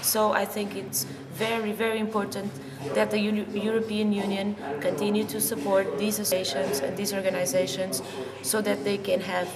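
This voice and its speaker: A woman with a Portuguese accent speaks earnestly in an unscripted interview, her voice at an average pitch. She sounds away from the microphone in a long reverb, with a loud crowd around her. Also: little pitch movement, moderate pace. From Lisbon, Portugal. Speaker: interviewee, youth project participant. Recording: distant mic, reverberant, loud crowd behind.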